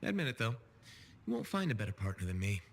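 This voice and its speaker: An actor playing a wry, steady freelance pilot hero in a video game, talking in an understated, dry way. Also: male voice, lowish voice, quick pace, creaky, full character voice. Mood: earnest, wry. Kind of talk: conversational video game voice acting.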